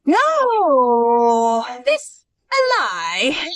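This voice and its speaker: Actress as loyal young girl in cartoon tale; emphatic pleading, piercingly high, slow and drawn out. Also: wildly swooping pitch, no room sound, studio quality, far from the mic, full character voice. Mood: distressed protest. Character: brave, distressed young village girl, fiercely loyal. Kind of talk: animated moral story acting.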